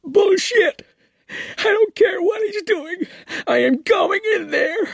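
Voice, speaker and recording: A man's voice: very high-pitched, expressive, takes it slowly, gravelly, dry recording, clean studio sound, pitched above the performer's own voice, heavily acted. A man voicing a grieving mother who screams and cries her accusations in fury. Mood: screaming grief. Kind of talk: audiobook character reading.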